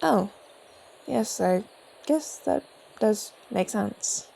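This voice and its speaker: An officious orc border guard acted by a woman for a fantasy audio roleplay. The voice is medium in pitch, with formal, over-dramatic wording and an earnest, easily flustered temper. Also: expressive, drawn-out words, gravelly, dark tone, slight background noise, exaggerated voice. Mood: doubtful, deferring.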